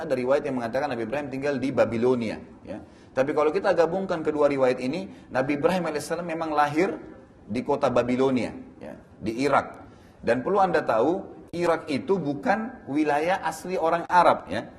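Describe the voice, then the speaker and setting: A man's voice: a little high, a little hiss or hum. A man giving an Islamic history lecture, explaining the story as a teacher with frequent confirming tags. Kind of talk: religious lecture retelling prophetic history.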